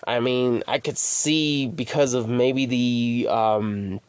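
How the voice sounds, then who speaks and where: A man's voice: mid-range voice, steady tone, takes it slowly, clean tone, dry recording. A man hosting his own podcast in casual solo chat, rambling and stammering, swearing freely as he talks. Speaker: podcast host.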